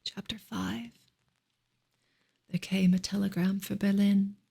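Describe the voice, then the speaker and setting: A woman reading a novel aloud in a soft, hushed, breathy ASMR style, often slipping into a whisper. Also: medium pitch, level tone, takes it slowly. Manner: hushed, gentle delivery.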